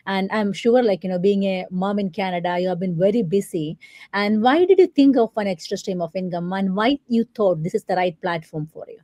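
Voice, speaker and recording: A woman hosting a live interview over a video call, friendly and halting with lots of fillers. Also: medium pitch, mellow, no room sound. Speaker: host.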